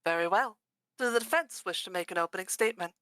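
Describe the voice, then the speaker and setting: A woman's voice: medium pitch, a bit bright, smooth, no echo, clean studio sound, lightly acted. A woman voicing a stately machine judge. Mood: solemn. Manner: formal, measured courtroom proclamation. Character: stately female machine judge presiding over trials. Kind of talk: voice acting a game live on stream.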